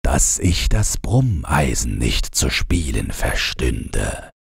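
A man's voice: extremely low pitch, very animated, slow pace, creaky, husky, no echo, studio quality, faint music behind, pitched below the performer's own voice, exaggerated voice. A man voicing a grumpy but affectionate king and husband in a storybook fairy tale. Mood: self-satisfied. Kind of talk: storybook character voice.

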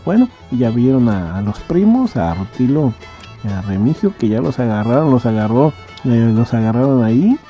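A man's voice: mid-range voice, very animated, normal speed. A man with a Mexican accent hosting a podcast, chatting casually in commentary on a radio serial. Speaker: podcast host. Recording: dull lo-fi sound, music behind. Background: music.

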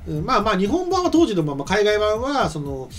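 A man's voice: high-pitched, huge pitch swings, fairly quick, faint music behind. A middle-aged man giving casual commentary as a talk show host, hesitant and thinking aloud, close to a desk microphone. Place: small indoor streaming studio. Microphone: desk microphone on a stand.

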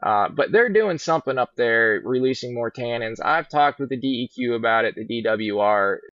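A middle-aged man, a fishing guide, chatting in a relaxed, rambling way on a podcast, heard over a video call from a large indoor showroom. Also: medium pitch, normal speed, dry recording. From Virginia. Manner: easygoing, rambling storyteller. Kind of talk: casual podcast interview. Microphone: laptop webcam on a video call. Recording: muffled dull video call audio.

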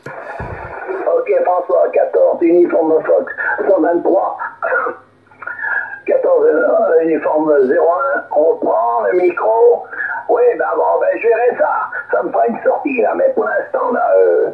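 A man's voice: high voice, gravelly. Crackly-voiced man over narrow radio. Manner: rambling, halting. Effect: radio transmission. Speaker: amateur radio operator. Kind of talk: casual radio chat. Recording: phone-like radio signal, echoey.

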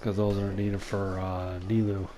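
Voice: sits low, flat delivery, takes it slowly, dark tone, a little hiss or hum. A gamer giving casual commentary while playing, muttering to themself with hesitation. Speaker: gamer playing through a game. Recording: game music behind the voice. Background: video game music.

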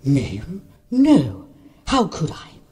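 A man voicing a female animal in a children's storybook, protesting innocence in a drawn-out, matter-of-fact manner. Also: medium pitch, huge pitch swings, dark tone, heavily acted.

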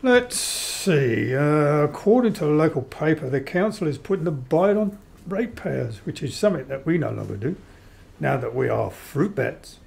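An actor playing a courteous, matter-of-fact vampire count and husband in a radio play. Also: male voice, on the high side, lively intonation, gravelly, a little mellow. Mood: pleased. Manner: measured, courteous, explanatory. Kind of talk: old-time radio play acting.

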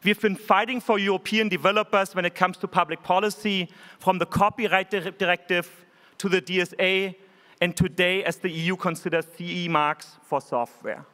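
A man in his forties with a German accent, giving an earnest, measured keynote speech from a conference stage podium microphone. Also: high-pitched, fairly even intonation, bright, forceful tone. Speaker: keynote speaker. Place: large conference hall stage. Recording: hall reverb.

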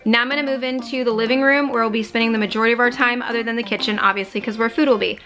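30s woman talking thru cleaning tips, kinda high voice, music playing under her. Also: steady tone, very fast. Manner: instructive, practical, matter-of-fact. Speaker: cleaning vlogger. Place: home living room, on a couch. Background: background music.

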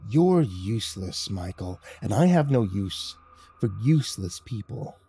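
A threatening male chief of a ragged settlement, acted by a male narrator in a grim storybook tale. He talks in a scornful growl with a mellow, dark tone. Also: lowish voice, big pitch swings, unhurried, husky, full character voice. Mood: cold threat. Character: tall, menacing male leader of ragged settlers.

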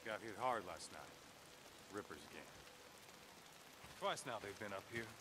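A shrewd, domineering leader of a survivalist camp in a video game. His low voice is folksy and deliberate, in clipped phrases. Rain noise is clear behind him. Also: lively intonation. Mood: grim, matter-of-fact. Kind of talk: video game cutscene acting.

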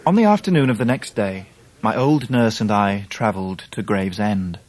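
Actor as serious english gentleman telling his life story, fairly low voice, sombre radio drama narration. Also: normal speed, no echo, close to an everyday voice. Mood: composed. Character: grave, reflective grown English gentleman recalling his life. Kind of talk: dramatised first-person narration.

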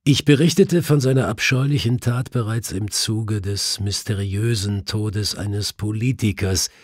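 A man with a husky voice narrating a detective story audiobook as scripted reading. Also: lowish voice, no echo, clean studio sound.

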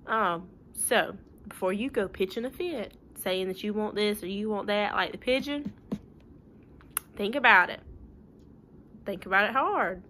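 A woman's voice: medium pitch, unhurried, long pauses, bright, forceful tone, slight background noise. A young woman, talking informally to pupils and parents in a folksy, plain-spoken way with rhetorical questions. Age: young adult. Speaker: schoolteacher. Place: living room at home.

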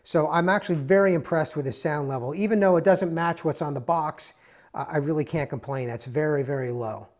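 A man's voice: a little high, brisk. A man giving a casual, rambling tool review in a garage workshop, with many fillers and restarts. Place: indoors, garage or workshop with concrete floor.

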